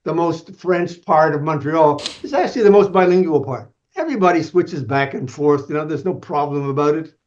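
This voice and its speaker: A man who makes documentaries, speaking as a podcast guest in a measured, explanatory way. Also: on the high side, a little mellow, lo-fi sound. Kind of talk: interview answers.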